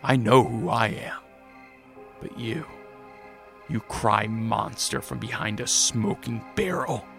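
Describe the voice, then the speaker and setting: A solemn, brooding antihero in a radio drama. The mid-pitched voice is gravelly and deliberate, with light music underneath. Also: male voice, fairly bright tone. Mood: solemn defiance. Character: brooding, principled male bloodthirsty antihero.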